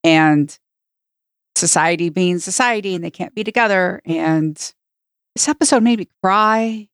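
Woman, lower-pitched, earnest, piecemeal phrasing, conversational review, dry close recording. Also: a little slow, clear, resonant tone, no room sound. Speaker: podcast co-host. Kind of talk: casual review discussion.

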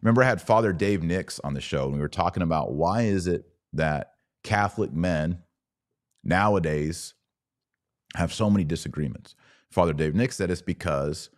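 A man hosting a live podcast, giving religious commentary and answering comments in an emphatic, didactic yet conversational way with deliberate pauses. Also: low voice.

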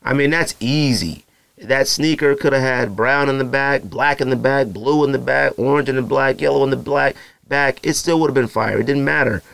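A man in his thirties with a naturally deep voice, hosting a podcast in loose, slangy casual chat full of fillers and asides to his listeners. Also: moderate pace, creaky, a bit bright, no room sound. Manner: feels hot and uncomfortable while recording. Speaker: podcast host. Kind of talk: casual solo podcast rambling.

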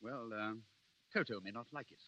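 An actor playing a weary, exasperated husband in a 1930s radio drama, theatrical and dryly sardonic. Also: male voice, mid-range voice, normal speed, sharp tone. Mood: resigned, dry. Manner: theatrical, dryly sardonic, flustered under pressure. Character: exasperated, weary husband divorcing his absent wife. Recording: dull vintage 1930s radio recording.

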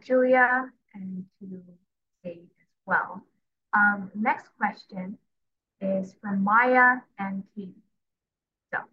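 A woman's voice: medium pitch, slightly rough, muffled recording. A woman moderating a candidates' debate, reading questions out to the speakers in turn.

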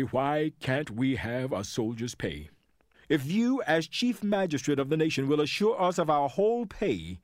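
Casting: actor for a proud, aggrieved Union soldier petitioner, impassioned and formal, fairly high voice, very dry sound. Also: male voice, darkish tone, no echo, close to an everyday voice. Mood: earnest appeal. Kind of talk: dramatic reading of a historical letter, oratorical.

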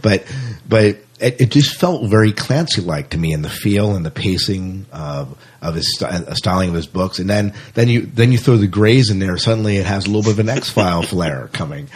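A man's voice: on the low side, animated, normal speed, husky. A man hosting a podcast interview, chatting casually and rambling through restarts. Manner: rambling, many restarts, laughs often. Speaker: podcast host.

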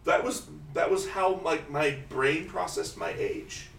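A man in his thirties in casual chat, animated and laughing often, slipping into exaggerated quoted voices. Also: on the high side, unhurried, creaky. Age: 30s. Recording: roomy echo, distant mic, faint hiss.

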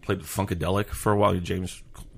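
A man co-hosting a podcast, chatting in a relaxed way and reminiscing about music clubs he played. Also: deep voice, fast, gravelly, slight background noise. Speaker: podcast co-host. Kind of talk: casual chat.

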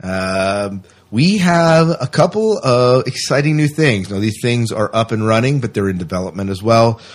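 A man's voice: mid-range voice, expressive, moderate pace. A man hosting a podcast in a casual, talkative, explanatory way, chatting with a co-host. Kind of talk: casual co-hosted podcast chat. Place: small closed room.

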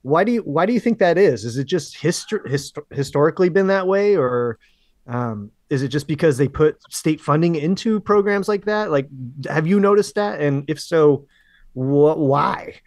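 A man's voice: on the high side, normal speed, dry recording. American male host, dry close sound. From United States. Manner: relaxed, rambling questions with many fillers. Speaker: podcast host. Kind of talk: casual interview chat.

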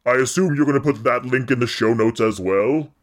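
A man's voice: mid-range voice, a little fast. A man co-hosting a podcast, reading listener mail with a dry, teasing delivery. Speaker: podcast co-host. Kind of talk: casual podcast chat.

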